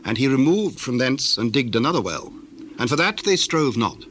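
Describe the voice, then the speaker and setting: A man narrating scripture from a script in a measured, formal way. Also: medium pitch, very animated, a bit bright. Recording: faintly noisy old recording.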